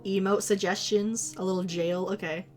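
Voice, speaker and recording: A woman's voice: medium pitch, level tone, normal speed. Girl streamer chatting w chat, giggly n rambly, voice kinda worn out, faint music under. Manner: giggly, rambling, self-teasing. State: losing her voice. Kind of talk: casual stream chat with viewers.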